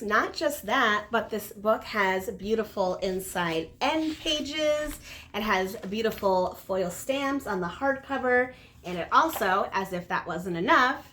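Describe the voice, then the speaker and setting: A woman's voice: medium pitch, pushed and bright. A woman talking casually to viewers as a book reviewer, conversational and emphatic on key words. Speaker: book reviewer, video host. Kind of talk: casual book chat to camera.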